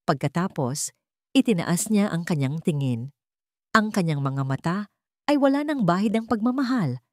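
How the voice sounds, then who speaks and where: Low-pitched woman narrating a dramatic story. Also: big pitch swings, normal speed, dry recording, clean studio sound. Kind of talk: dramatic scripted narration of a romance melodrama.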